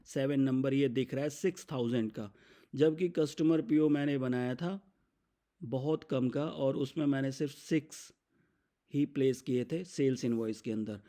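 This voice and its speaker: A man with an Indian accent explains software step by step as an instructor, mixing English business terms into his speech. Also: medium pitch, moderate pace. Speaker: software demonstrator.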